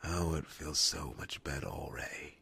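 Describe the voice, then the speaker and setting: A man's voice: a bass voice, normal speed, bright, forceful tone, husky, exaggerated voice. A man playing a sadistic, gloating demon servant in an ASMR fantasy roleplay, with a taunting, whispery delivery. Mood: gleeful menace.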